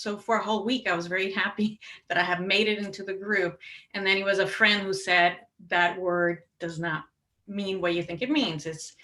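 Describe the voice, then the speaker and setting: Bright-voiced woman panelist telling stories. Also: mid-range voice. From Puerto Rico. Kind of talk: panel discussion, personal anecdote and argument.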